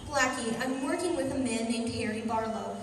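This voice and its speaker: A woman's voice: on the high side, normal speed, lightly acted. An actress playing a scheming young female crook who pleads like an innocent, in an old-time radio crime drama. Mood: anxious, pleading. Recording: echoey hall, distant mic, faint noise.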